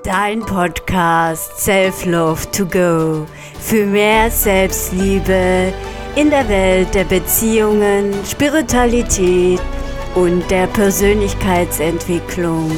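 A woman hosting a podcast as a self-love coach, introducing the show calmly and speaking with her guest. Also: mid-range voice, slow. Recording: intro over music; interview slightly dull.

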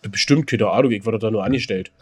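A man's voice: lowish voice, fast talker, a little mellow, husky. A man co-hosting a podcast, telling anecdotes casually in a rambling, colloquial way. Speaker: podcast co-host.

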